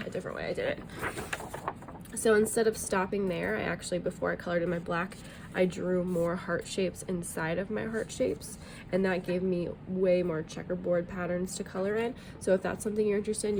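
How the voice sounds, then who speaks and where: A woman's voice: lowish voice, background noise. A woman art teacher talking through a drawing tutorial in a friendly, chatty way, a bit sniffly from allergies, with plenty of ums.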